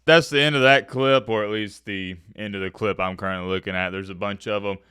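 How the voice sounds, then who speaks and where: A man giving casual reaction commentary as a video host, animated, slangy and opinionated in his delivery. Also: lowish voice, fairly quick, smooth, no echo, clean studio sound.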